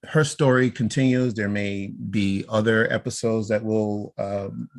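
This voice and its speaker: A man's voice: on the low side, steady tone, unhurried. A middle-aged man with an American accent, talking conversationally as a podcast host.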